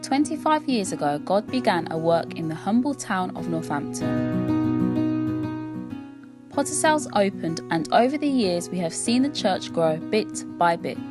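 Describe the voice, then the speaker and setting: A woman narrating a scripted, unhurried introduction. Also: mid-range voice, sing-song. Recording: guitar music loud behind.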